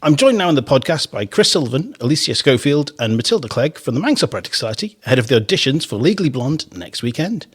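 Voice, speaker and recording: A man's voice: mid-range voice, sing-song, fast, hardly any pauses, breathy edge. A man hosting a casual theatre podcast interview, chatty and encouraging, laughing easily. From Isle of Man. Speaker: podcast host.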